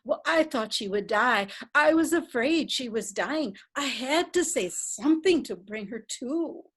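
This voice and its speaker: A woman's voice: sits high, animated, unhurried, a bit bright, slightly rough, heavily acted. A woman reading the part of a kindly, flustered old lady who knits and rambles through her story. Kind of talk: readers theatre reading.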